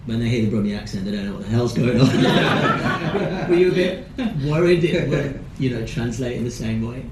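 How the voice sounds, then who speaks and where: A man's voice: mid-range voice, lively intonation, normal speed. A man moderating a panel Q&A, asking long rambling questions full of fillers. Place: large screening hall. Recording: echoey hall with audience noise.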